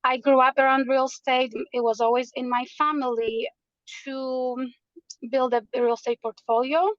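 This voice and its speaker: A woman's voice: high-pitched, level tone, normal speed, no room sound, lo-fi sound. A woman from Poland, a realtor earnestly explaining her background and the market as a guest in an interview. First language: Polish. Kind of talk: interview answers.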